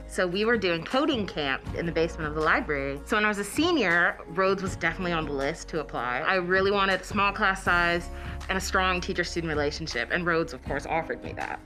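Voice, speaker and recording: A young woman college student telling her story in a lively documentary interview. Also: on the low side, fairly quick. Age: young adult. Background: music.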